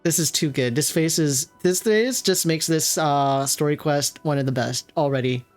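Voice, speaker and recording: A man's voice: on the high side, moderate pace. A man doing casual livestream reaction commentary, gushing playfully over a video game. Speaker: game streamer. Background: game music.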